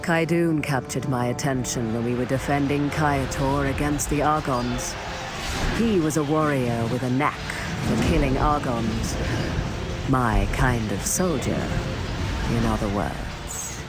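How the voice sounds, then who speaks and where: A battle-hardened soldier of a fantasy game, talks slowly and wistfully. Her restrained delivery has music playing behind it. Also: very deep voice.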